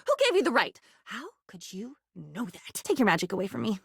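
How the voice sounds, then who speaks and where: A woman's voice: mid-range voice, wildly swooping pitch, brisk, creaky, slightly rough, no room sound, studio quality, heavily acted. An actress voicing a volatile, lonely, dreamy woman in a video game, rambling theatrically from one non sequitur to the next. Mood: agitated, upset. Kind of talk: video game character voice acting.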